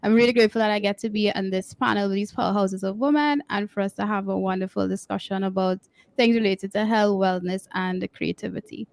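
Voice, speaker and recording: A woman's voice: medium pitch, steady tone, a little fast, vocal fry. A woman speaking as a mindset coach, introducing herself on a panel.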